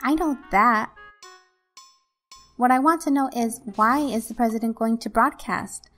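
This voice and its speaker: Woman playing a highish-voiced young rebel leader. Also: a little slow, fairly bright tone, soft background music. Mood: questioning, impatient. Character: earnest, bossy young female resistance leader. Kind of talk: fan-dub video game voice acting.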